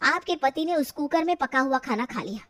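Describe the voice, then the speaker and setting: Woman as a high-voiced dutiful young daughter-in-law. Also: lively intonation, fairly quick, a bit bright. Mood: firm, fed up. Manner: polite, firm, explanatory. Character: dutiful young daughter-in-law, polite but firmly standing her ground.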